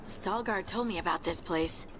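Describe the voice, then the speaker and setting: An actress playing an earnest, curious young dwarf in a fantasy video game, speaking in a thoughtful, eager way. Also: female voice, fairly low pitch, normal speed, background noise, natural voice. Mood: explanatory. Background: game music.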